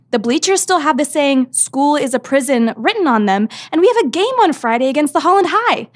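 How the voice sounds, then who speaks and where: A woman playing an inquisitive, determined teenage girl reporter in an audio drama. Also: sits high. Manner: hesitant when fibbing, insistent when pressing.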